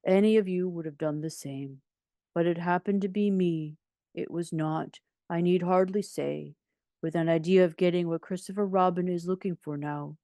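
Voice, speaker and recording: A woman's voice: lowish voice, steady tone, normal speed, warm tone, dry recording, studio quality, lightly acted. A woman voicing a gloomy, pompous male donkey from a children's storybook, speaking in a ponderous, mournful, self-important way. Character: gloomy, pompous, long-suffering old toy donkey, male.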